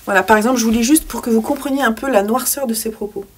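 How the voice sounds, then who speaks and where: A woman's voice: fairly high pitch, fast talker, a little hiss or hum. A young woman talking through a poetry book she read, hesitating often with fillers and restarts, and now and then reading passages aloud. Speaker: book vlogger. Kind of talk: casual book review with read-aloud poems.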